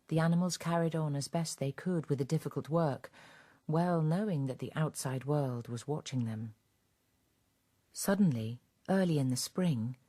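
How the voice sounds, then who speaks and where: Female narrator, very low pitch, dark mellow tone, measured storytelling, scripted radio drama.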